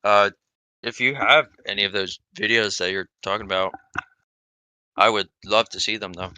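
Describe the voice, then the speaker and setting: A man's voice: on the low side, steady tone, moderate pace, sharp tone, smooth, no room sound. A man from the US East Coast chatting casually on a voice call before dawn, laid-back and swearing, with lots of fillers. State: up very early, tired. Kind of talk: casual voice chat.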